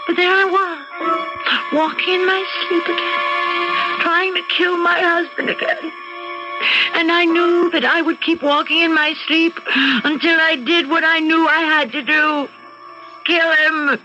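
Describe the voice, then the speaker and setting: An actress playing a scheming, bored wife in an old-time radio suspense drama, theatrical, genteel and confiding. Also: female voice, very high-pitched, fairly even intonation, unhurried, dull audio. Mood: confiding, resolved. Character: scheming, bored wife narrating her murderous plans. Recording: band-limited radio drama with loud music.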